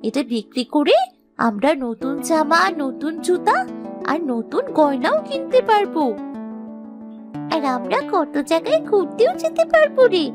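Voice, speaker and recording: A woman acts out an excitable young village girl in a children's cartoon. The voice is extremely high and swings widely in pitch, exclamatory and theatrical, with music playing clearly behind it. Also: a little slow, exaggerated voice. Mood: eager, dismissive. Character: young village girls, excitable and squabbling sisters. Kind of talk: children's moral-story cartoon acting.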